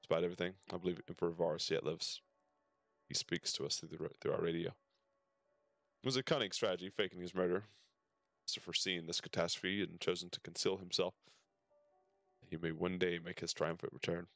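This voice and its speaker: A man with a low-pitched voice reads story dialogue from a game aloud for a stream. He drops in casual comments and sometimes trips over the text. Music plays behind him. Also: steady tone, fairly quick, gravelly, long pauses, a bit bright, no echo. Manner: stumbles over text while reading aloud.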